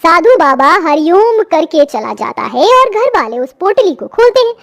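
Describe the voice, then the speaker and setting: A woman's voice: very high voice, sing-song, moderate pace, gravelly, fairly bright tone. A woman reading scripted narration for a children's story.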